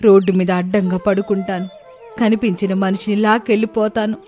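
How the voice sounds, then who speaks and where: A woman's voice: medium pitch, little pitch movement, a little fast, warm tone, faint music behind, natural voice. A woman voicing a distressed, loving mother cow in a storybook tale, lamenting and pleading. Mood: worried, resolute.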